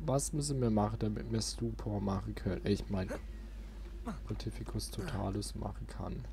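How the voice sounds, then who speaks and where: A man's voice: on the low side, fairly even intonation, moderate pace, creaky, background noise. A man giving casual gameplay commentary as a let's play host.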